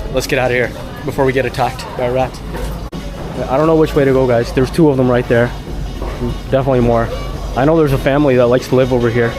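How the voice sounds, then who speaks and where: A Canadian man walking through a city market outdoors, chatting upbeat to his viewers as a travel vlogger. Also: mid-range voice. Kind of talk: handheld travel vlog narration.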